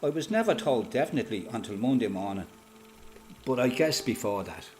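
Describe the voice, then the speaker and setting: A man with an Irish accent playing a resolute, plain-spoken freedom fighter giving a matter-of-fact, measured first-person testimony in a radio play. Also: medium pitch, lively intonation, a little mellow, faint music behind, close to an everyday voice. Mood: matter-of-fact recollection.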